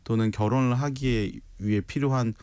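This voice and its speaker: A man in his forties answering interview questions in a measured, polite and thoughtful conversational way. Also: mid-range voice, steady tone, darkish tone, smooth, dry recording. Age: 40s. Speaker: interview guest, writer. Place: radio studio.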